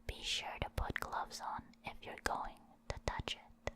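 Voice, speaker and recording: A woman reading reference entries in an unvoiced, breathy ASMR whisper, with measured, spaced-out phrasing. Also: pushed and bright. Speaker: ASMR host.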